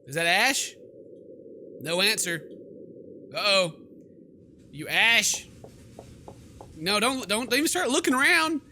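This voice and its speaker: A man's voice: sits high, animated, takes it slowly, pauses often, sharp tone, a little hiss or hum. A man hosting a horror game playthrough, reading text aloud and reacting with theatrical, sarcastic, exclamatory commentary. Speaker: gaming video host.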